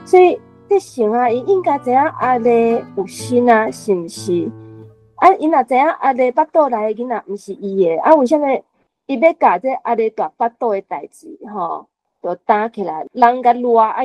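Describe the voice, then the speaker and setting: Bright, slightly high-voiced Taiwanese woman. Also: low-quality recording. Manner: methodical, lays out questions point by point. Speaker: discussion panelist asking questions. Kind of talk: literary discussion.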